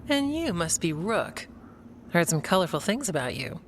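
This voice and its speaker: An actress playing a blunt, dry-witted warden warrior in a fantasy video game, with a curt delivery. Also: female voice, fairly low pitch, big pitch swings, normal speed, a little hiss or hum. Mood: dry, guarded.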